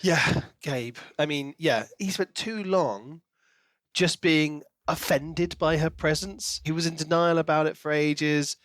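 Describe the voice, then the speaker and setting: A man with a slightly high voice chats casually as a podcast co-host. He tells things in an animated way, slipping into imagined dialogue, and the recording is close and dry. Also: a little slow, dry recording. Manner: animated storytelling, acts out imagined lines. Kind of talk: casual chat about books.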